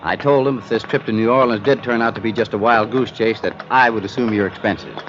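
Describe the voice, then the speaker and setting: A man's voice: fairly low pitch, brisk, fairly bright tone, natural voice. An actor playing a worried middle-aged male businessman in a 1950s radio drama, earnest and hesitant. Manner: earnest, hesitant, stammers when unsure. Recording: dull old radio transcription with hiss.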